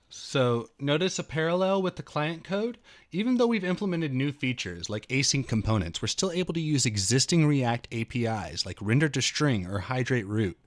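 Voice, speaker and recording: A man in his thirties reading a technical programming tutorial aloud in an expressive, slightly dramatic way. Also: mid-range voice. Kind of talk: read-aloud technical walkthrough with spoken asides.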